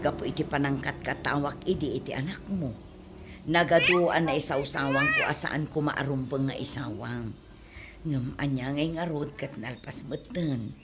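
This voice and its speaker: Woman acting very low-voiced, aggrieved Ilocano mother. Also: moderate pace, heavy background noise. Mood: subdued, regretful. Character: indignant, aggrieved middle-aged mother of a jilted bride. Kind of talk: Ilocano comedy radio drama acting. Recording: music under the voice.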